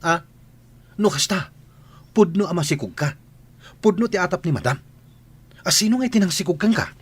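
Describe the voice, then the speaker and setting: An actor playing a nervous, gossipy household servant in an Ilocano comedy radio drama, stammering and exclaiming in a husky voice. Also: male voice, high-pitched, animated, moderate pace. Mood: nosy.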